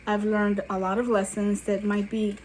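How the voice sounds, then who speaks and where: A woman's voice: medium pitch, flat delivery, normal speed, a little mellow, slight background noise, poor audio. A woman in her seventies, originally from Guatemala, chatting casually to her channel viewers as she tells her life story with digressive asides. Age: 70s. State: sweaty after a walk. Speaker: YouTube channel host. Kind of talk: casual personal storytelling to camera.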